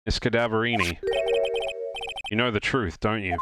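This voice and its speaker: A man's voice: lowish voice, normal speed. A man live-dubbing a lawyer's lines in a video game, with joking asides, as a streamer. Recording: loud game music behind.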